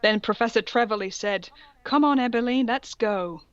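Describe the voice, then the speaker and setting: A woman with a fairly high voice reads fan fiction aloud as a comic co-host. The delivery is mock-serious and amused, dropping into casual asides. The recording is dry and slightly dull. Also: moderate pace, no room sound.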